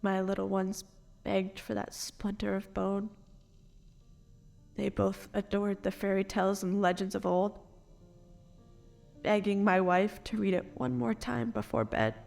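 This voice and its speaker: A wronged noble acted by a woman speaks with earnest, formal drama, as a grieving parent pushed toward becoming an assassin. The voice is medium in pitch with a noticeable fry, over light music, in a dark fantasy audio drama. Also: flat delivery, unhurried, long pauses. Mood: grieving, resolute.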